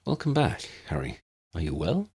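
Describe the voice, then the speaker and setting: A man voicing a weary old wizard in an audiobook story, grave and courteous, with formal, measured phrasing. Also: sits low, wildly swooping pitch, a little mellow, breathy edge, heavily acted. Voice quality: cracked voice.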